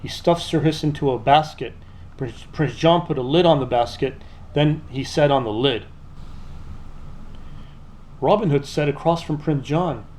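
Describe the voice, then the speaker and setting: A man narrating a children's storybook aloud in an expressive, scripted read-aloud style. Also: on the high side, unhurried, pauses often, slight background noise.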